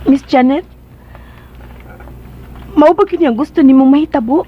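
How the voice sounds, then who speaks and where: A woman's voice: high voice, moderate pace, natural voice. A woman playing a meek young household helper in a radio drama, on a dull, noisy recording. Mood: uneasy, probing.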